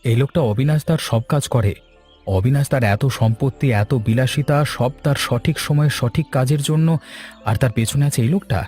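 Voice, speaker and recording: A man's voice: mid-range voice, fairly quick, a little mellow, lightly acted. A man playing a plain-spoken village man in scripted cartoon dialogue. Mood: puzzled, calculating. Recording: music behind the voice. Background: background music.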